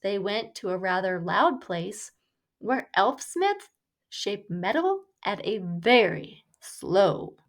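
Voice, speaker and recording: A woman reading a rhyming children's story aloud in a measured, deliberate storytelling delivery. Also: mid-range voice. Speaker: storybook reader.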